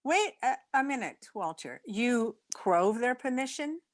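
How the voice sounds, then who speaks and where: A woman's voice: on the high side, big pitch swings, unhurried, no echo. A woman playing a wry, wisecracking single woman with dry, sardonic delivery in an old-time radio comedy. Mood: dry teasing. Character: Miss Brooks, wry, wisecracking single woman, a cat owner.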